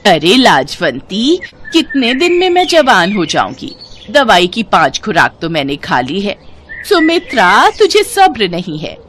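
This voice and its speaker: A woman's voice: medium pitch, very animated, a little slow, a bit bright, a little hiss or hum. A woman voicing a frail, wheedling old woman who is really a sly con artist, in a storybook tale, drawling and coaxing.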